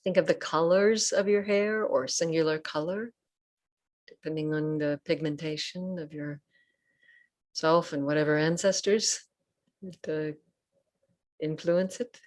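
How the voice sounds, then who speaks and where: Female meditation guide, low register, gentle delivery, short phrases with patient pauses. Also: steady tone. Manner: gentle, unhurried, pausing between short phrases. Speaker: Buddhist nun and meditation teacher. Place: online group session over video call.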